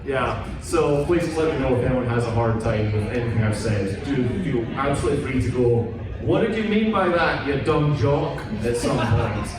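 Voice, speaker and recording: A man's voice: medium pitch, normal speed, a crowd in the background. Rambling Scottish man in an echoey hall. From Scotland. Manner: rambling, chatty and self-deprecating. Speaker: author on a convention panel. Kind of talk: casual panel talk. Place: convention hall. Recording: echoey hall, distant mic, noisy.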